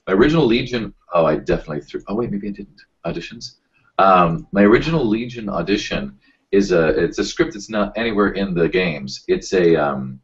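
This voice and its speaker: A man with a very low voice, a voice actor chatting casually as a podcast guest, rambling and self-deprecating as he tells stories. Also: creaky, dull audio.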